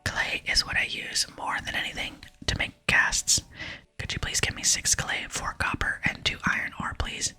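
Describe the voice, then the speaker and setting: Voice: moderate pace, pushed and bright. ASMR reader as a humble whispering smith. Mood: earnest. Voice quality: breathy whisper. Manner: polite, plain-spoken. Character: humble, hard-working male smith asking favours. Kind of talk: whispered game dialogue reading.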